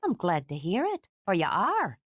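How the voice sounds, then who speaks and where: A bossy older housekeeper, brisk and fiercely fond, scolding in a lively voice that swings high when she gets worked up. A woman puts her on in a storybook reading. Dry, close sound. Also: slightly rough, dry recording, exaggerated voice.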